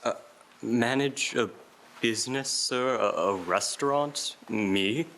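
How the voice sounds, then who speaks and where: A man's voice: mid-range voice, slow, fairly bright tone, slight background noise, low-quality recording. A man playing a timid, flustered Victorian clerk in a live radio play, stammering and deferential. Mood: stunned.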